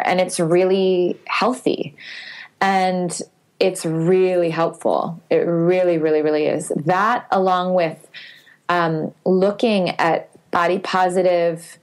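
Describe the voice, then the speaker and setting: A woman guest on a podcast interview talks candidly and thoughtfully, with many fillers, and grows animated at times. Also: lowish voice, slow pace. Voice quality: sweet singing voice.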